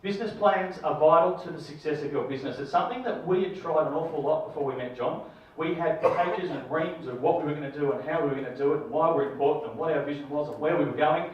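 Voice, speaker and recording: A man's voice: on the high side, quick pace, hardly any pauses. A man giving an enthusiastic testimonial as he introduces the speaker at a seminar. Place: seminar room. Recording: distant, dull, very echoey room.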